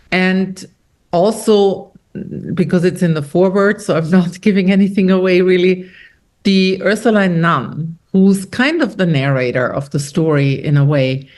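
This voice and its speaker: Austrian-born lady author, middle-aged, lively storyteller chatting in an interview. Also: on the low side. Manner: animated storyteller, quotes others with lively shifts. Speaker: author guest. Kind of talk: conversational interview.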